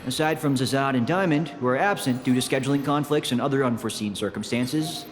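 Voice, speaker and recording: A man's voice: fairly high pitch, close to an everyday voice. An actor playing a polite, formal male meeting moderator in a video game. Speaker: game character. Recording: long reverb over music.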